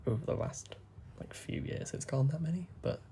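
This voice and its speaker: A young man, talking softly and rambling as he plays a game, full of fillers and asides. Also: fairly high pitch, a little hiss or hum. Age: young adult. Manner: soft, deliberately hushed talk with frequent fillers. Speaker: gaming YouTuber. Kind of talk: rambling gameplay commentary.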